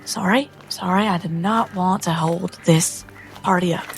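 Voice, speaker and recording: Woman doing an evasive sorry young adventurer, hesitant, lowish voice, faint music. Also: a little slow, vocal fry. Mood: apologetic. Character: Poppy, evasive apologetic young female adventurer.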